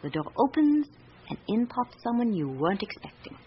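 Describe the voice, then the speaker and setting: An actress with a British accent playing a candid, observant secretary in a radio drama, speaking in a measured, confiding way. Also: female voice, fairly high pitch, big pitch swings, slightly rough, full character voice. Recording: muffled radio recording with background hiss.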